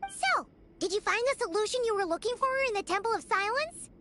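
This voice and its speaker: A woman voicing a small, excitable, childlike fairy companion in a video game, chirpy and speaking of herself in the third person. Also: extremely high pitch, brisk, noisy background. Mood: curious.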